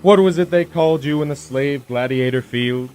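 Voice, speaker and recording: A man's voice: mid-range voice, a little mellow, natural voice. An actor playing a grandiose, taunting male villain in an audio drama, drawing out his words with menace. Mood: gloating. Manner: theatrical, taunting, drawn-out delivery.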